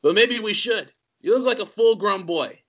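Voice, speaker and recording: A man's voice: sits high, normal speed, natural voice. An actor playing a flamboyant, teasing uncle in his late forties in a screenplay table read. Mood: teasing menace. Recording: humming, noisy call audio.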